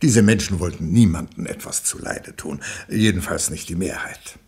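An actor playing a pompous, evasive government minister in a German radio play, speechifying in a husky voice with rhetorical flourishes. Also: male voice, deep voice, normal speed, darkish tone. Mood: grandiose.

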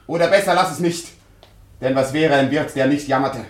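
An actor playing a wry, teasing tavern regular in a radio play, ironic and lightly mocking. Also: male voice, medium pitch, moderate pace, close to an everyday voice. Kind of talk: German radio play acting.

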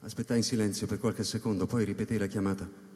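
Low, reverberant male actor as a solitary astronaut narrator. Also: level tone, quick pace, a little mellow, natural voice. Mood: grave, tense. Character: solitary male astronaut recounting his arrival in space. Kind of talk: literary sci-fi dramatic narration. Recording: long hall reverb over live electronic music. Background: electronic music.

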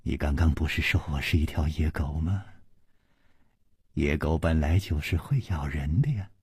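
A man voicing a roguish, teasing, smitten martial-arts hero, soft, low and flirtatious, in a storybook reading. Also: normal speed, husky, no echo, full character voice. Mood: cheeky, teasing.

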